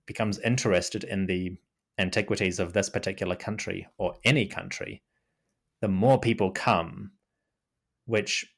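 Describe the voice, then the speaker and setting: Kiwi guy, really low voice, thinking out loud on a podcast call, lots of restarts. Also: vocal fry. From New Zealand. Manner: thoughtful, restarts often, lots of "you know". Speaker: podcast guest, Egyptology podcaster. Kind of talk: casual interview talk. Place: remote call.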